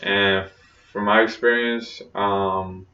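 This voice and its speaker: A man's voice: lowish voice, fairly even intonation, takes it slowly, pushed and bright. A young man talking casually in a personal vlog, rambling with lots of fillers and restarts. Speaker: vlogger. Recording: roomy, distant, dull lo-fi sound.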